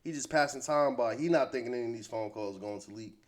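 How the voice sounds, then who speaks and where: A man's voice: mid-range voice, fast talker. Man, American accent, casual commentary, conversational, slangy, opinionated. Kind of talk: casual hip-hop gossip commentary.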